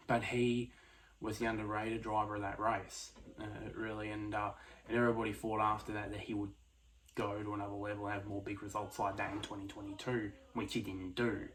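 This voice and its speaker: A man giving casual solo motorsport predictions, speaking quietly late at night, with many fillers and restarts. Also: fairly low pitch, a little hiss or hum, low-quality recording. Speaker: F1 prediction vlogger.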